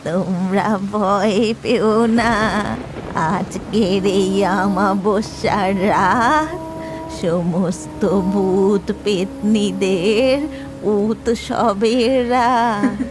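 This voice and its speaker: Woman voicing a very slow-talking, calm old woman. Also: mid-range voice. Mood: reassuring. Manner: slow, deliberate, soothing. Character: calm, reassuring, devout mysterious old woman. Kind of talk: cartoon voice acting. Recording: loud background music.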